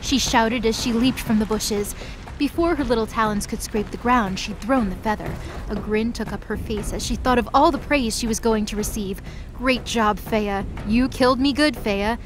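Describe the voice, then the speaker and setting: Woman narrating a myth, fairly high voice. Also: moderate pace, noisy background. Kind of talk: scripted story narration for a mythology audio drama. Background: music.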